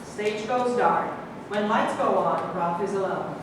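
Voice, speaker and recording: A woman's voice: mid-range voice, a little slow. A woman reading stage directions as scripted narration at a staged play reading. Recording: very echoey hall, distant mic, clear noise.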